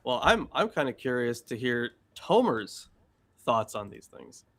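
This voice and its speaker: A man in a relaxed panel discussion speaks slowly and weighs his words, using a lot of fillers. His voice sits at a mid pitch.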